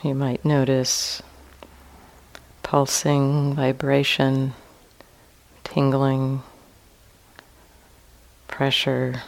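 Slow, calm woman guiding meditation. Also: rumbling low, creaky. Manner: calm, gentle instruction with long pauses. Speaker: meditation teacher. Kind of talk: guided meditation.

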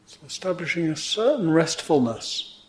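A man teaching meditation, guiding a sitting in gentle, measured phrases with pauses between them. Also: a little high, very animated. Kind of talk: guided meditation instruction.

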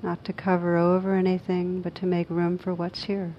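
Low, even-voiced woman guiding meditation. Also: lo-fi sound, slight background noise. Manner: gentle, unhurried phrasing with pauses. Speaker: meditation teacher. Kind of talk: guided meditation.

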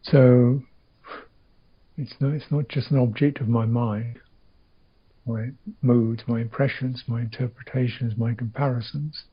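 A man teaching a guided meditation in short, reflective phrases with long pauses, his voice gravelly and husky. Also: medium pitch, fairly even intonation, highs cut off.

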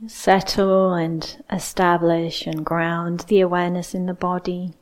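A woman leading a guided meditation as a teacher, speaking in a gentle, unhurried way with long pauses. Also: fairly low pitch, little pitch movement.

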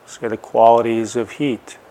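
A man teaching a guided meditation, speaking in short, unhurried phrases with long pauses between them. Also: medium pitch, little pitch movement, warm tone.

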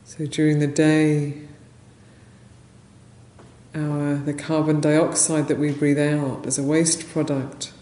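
A woman leading a guided meditation as a teacher, speaking gently and unhurriedly in short phrases with long pauses. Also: deep voice, level tone. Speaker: meditation teacher, Buddhist nun. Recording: roomy echo, faint background noise.

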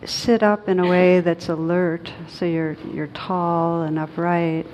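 Low-voiced woman gently leading a meditation. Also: little pitch movement, dull audio. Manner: gentle, unhurried, with long pauses. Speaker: meditation teacher. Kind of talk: guided meditation led for a group. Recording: clearly noisy with low rumble.

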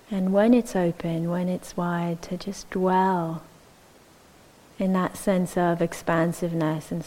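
A woman guides a meditation in a slightly low voice. Her pace is very slow and measured, with gentle phrasing and long pauses as she gives each instruction. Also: rough recording. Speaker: meditation teacher.

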